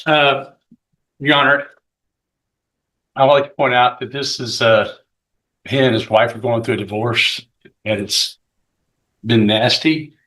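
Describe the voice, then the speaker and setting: A man with a bright, forceful voice argues as a defense attorney in a courtroom. He speaks haltingly, doubling back and repeating words as he builds his plea. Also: medium pitch, fairly even intonation, rough recording. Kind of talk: courtroom argument.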